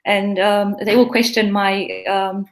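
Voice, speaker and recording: A woman's voice: medium pitch, fairly even intonation, takes it slowly. Earnest woman, mixed East African-English accent. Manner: earnest, reflective, encouraging. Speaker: guest, pharmacy owner. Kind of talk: casual interview chat.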